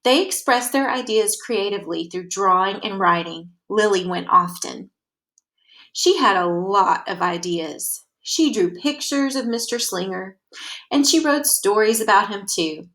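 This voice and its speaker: A woman reads a children's picture book aloud as a storyteller, with expressive, deliberate narration that leans on key words. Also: mid-range voice.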